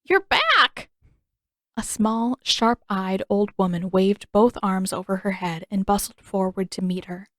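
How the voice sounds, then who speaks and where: A woman's voice: medium pitch, little pitch movement, a little slow, hardly any pauses, no room sound. A woman reading scripted story narration expressively, as an audiobook narrator close to the mic.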